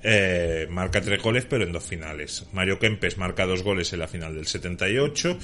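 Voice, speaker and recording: A man's voice: sits low, animated, moderate pace, a bit bright, a little hiss or hum. A man playing a quiz contestant, answering football questions at length with dates and scores. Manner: thorough, reels off dates and scores. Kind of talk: detailed football trivia answers.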